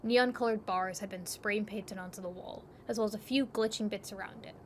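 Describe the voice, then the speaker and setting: A woman with a medium-pitched voice narrates an audio drama in the second person. It is scripted, descriptive storytelling. Clear noise sits behind her voice. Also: fairly even intonation, brisk.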